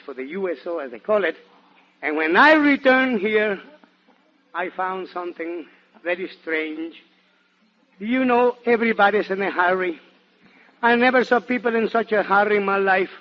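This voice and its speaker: A man's voice: sits high, normal speed. A man from Nicaragua with a Spanish accent, performing a theatrical comedy monologue on a muffled, hissy 1940s radio recording. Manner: theatrical, animated, exclamatory. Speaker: guest comedian and entertainer on a radio show.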